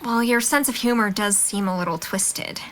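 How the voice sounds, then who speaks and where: Bright, earnest young woman, played by an actress. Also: medium pitch, normal speed, a little hiss or hum, natural voice. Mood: concerned. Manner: patient, reassuring, sincere. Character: kind, earnest, encouraging young female friend. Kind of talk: audio drama dialogue.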